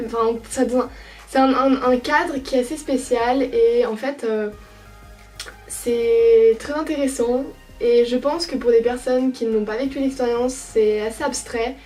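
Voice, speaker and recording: A woman's voice: sits high, mellow, far from the mic. A young woman from France talking through her experience as an au pair in a casual, reflective way, with frequent hesitations and restarts. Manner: hesitant, many fillers and restarts. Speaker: au pair vlogger.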